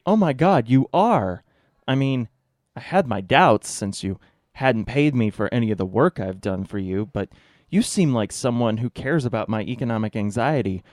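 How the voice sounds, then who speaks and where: A man's voice: medium pitch, animated, moderate pace, a little mellow, no echo, studio quality, lightly acted. A man playing a gullible, put-upon restaurant owner with earnest delivery in a satirical radio drama. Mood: naively won over.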